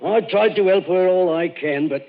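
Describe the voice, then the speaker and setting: An actor playing an earnest, gravelly working-class witness in an old radio drama. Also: male voice, sits high, moderate pace. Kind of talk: 1940s radio acting. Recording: dull old radio broadcast.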